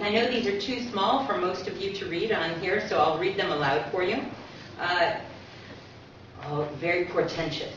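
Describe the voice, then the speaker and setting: A woman presenting to an audience in a conversational lecture style, with small asides and read-out sayings. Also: lowish voice. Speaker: guest speaker presenting a book. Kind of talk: informal public lecture with read-out aphorisms. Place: echoing talk room at a community centre. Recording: echoey hall from far off, muffled, noisy.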